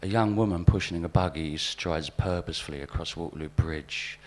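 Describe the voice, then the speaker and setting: A man giving a live reading of short overheard vignettes in a deadpan, observational storytelling manner. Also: deep voice, normal speed. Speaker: spoken-word performer. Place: live literary event in a venue.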